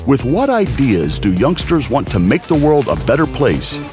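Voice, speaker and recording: Male narrator, measured documentary read, animated pitch, over a guitar bed. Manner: measured, informative. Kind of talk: scripted narration. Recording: music bed under the voice. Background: guitar music.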